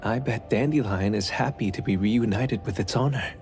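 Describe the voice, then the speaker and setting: A calm, enigmatic anime game man acted by a man with a lowish voice. He explains politely and in measured phrases, over clearly audible music. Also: close to an everyday voice. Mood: gentle, composed. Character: calm, courteous, enigmatic man keeping a supernatural pawnshop.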